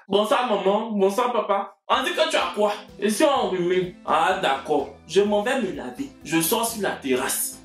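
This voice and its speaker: A man with an Ivorian French accent tells a comic personal story to his viewers and acts out each person in it. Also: high-pitched. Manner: lively, acts out every voice in the story. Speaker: video creator. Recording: roomy echo, faint music.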